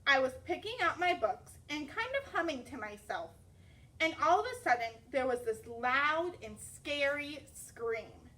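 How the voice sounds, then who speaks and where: A woman reading a children's story aloud as a teacher, expressive and deliberate. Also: sits high, distant mic, poor audio.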